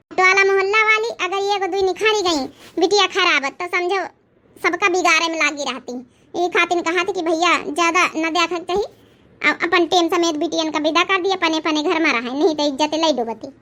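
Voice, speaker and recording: Village mother for a cartoon woman performer: Awadhi, very high and sharp, moralising, worried, traditional. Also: steady tone, normal speed. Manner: scolding, moralising. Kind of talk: cartoon comedy voice acting.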